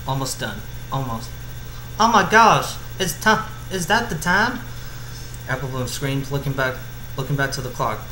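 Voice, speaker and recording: Amateur male story reader, informal and halting, repeats himself, roomy sound with background noise. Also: mid-range voice. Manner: halting, stumbles over words and repeats phrases. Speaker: amateur fan fiction reader. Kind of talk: informal read-aloud of a story with asides.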